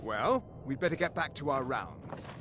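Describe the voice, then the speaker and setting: An actor playing a grumbling, sardonic rank-and-file guard in a fantasy game. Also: male voice, medium pitch, very animated, normal speed, noisy background, natural voice.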